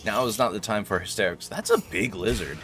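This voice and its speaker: A man's voice: fairly low pitch, lively intonation, a little fast, noisy background. A man hosting a gaming video with casual, joking commentary and wry, playful banter. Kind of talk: casual joking let's play commentary, reading game text aloud.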